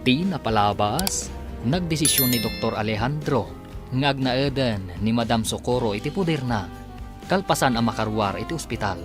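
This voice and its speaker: A man's voice: medium pitch, lively intonation, normal speed, over music. A man narrating and announcing a radio drama in a scripted, dramatic style.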